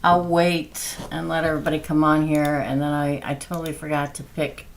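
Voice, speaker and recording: Hoarse woman host, unhurried, soft-spoken, lower voice, faint noise. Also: little pitch movement. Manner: soft-spoken, slow and chatty. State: hoarse, losing her voice. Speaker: tarot reader hosting a livestream. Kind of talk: casual livestream chat.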